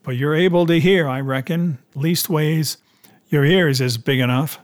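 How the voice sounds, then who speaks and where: A man's voice: a little high, measured pace, lightly acted. Man playing a cunning, scornful pirate sea cook. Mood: stern, controlled. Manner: emphatic, rustic seafaring speech. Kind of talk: dramatised adventure audiobook.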